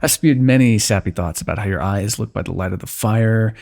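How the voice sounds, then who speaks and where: Gravelly guy doing soft affectionate asmr roleplay, lots of playful teasing. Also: on the low side, brisk. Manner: soft, teasing and affectionate, with frequent light laughs. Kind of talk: intimate ASMR fantasy roleplay.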